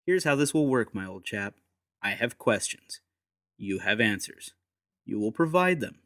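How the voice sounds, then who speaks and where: Steampunk audiobook secret agent, played by a man: menacing gentleman, deliberate, courteous, ruthless, very dry recording. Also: lowish voice, big pitch swings, dry recording. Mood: cold menace. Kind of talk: dramatised audiobook acting.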